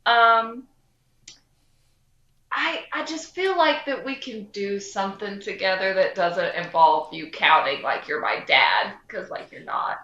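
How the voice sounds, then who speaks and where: A woman voicing a fibbing little girl adventurer in a tabletop game, pleading and bluffing haltingly, in a roomy, slightly dull recording. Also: mid-range voice, pushed and bright. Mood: wheedling.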